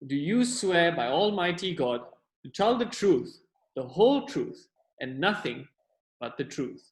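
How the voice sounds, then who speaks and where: A man as a high-voiced solemn court clerk, echoing. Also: very animated. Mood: solemn, official. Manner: formal, deliberate, declamatory. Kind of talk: courtroom stage play acting. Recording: echoey room.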